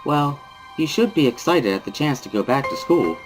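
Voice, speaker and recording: Guy doing a calm hospital doctor for a visual novel, kinda raised pitch, formal and soothing, music under it. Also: lightly acted, rough recording. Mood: calm, placating. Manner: measured, formal, placating.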